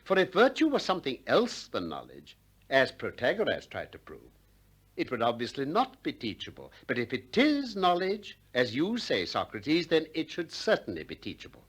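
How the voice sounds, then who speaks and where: An actor playing a male philosopher in a 1960s radio drama, courteous but relentless, articulate and measured as he questions insistently. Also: medium pitch, huge pitch swings, fairly bright tone, breathy edge, full character voice. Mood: wry.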